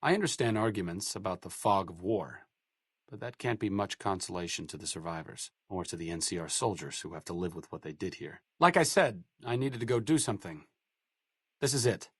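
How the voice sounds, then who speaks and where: A man's voice: deep voice, expressive, fairly quick, slightly rough, no room sound. An actor playing a dry, sardonic male scientist companion in a video game. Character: wry, educated male scientist companion in a wasteland. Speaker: companion character.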